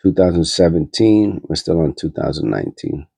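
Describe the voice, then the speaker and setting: A man's voice: low voice, moderate pace, creaky, dark tone, breathy edge, no echo. A man with a stuffy, nasal cold, hosting his coin-hunting channel and rambling aloud with many fillers as he sorts pennies. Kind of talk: casual coin roll hunting commentary.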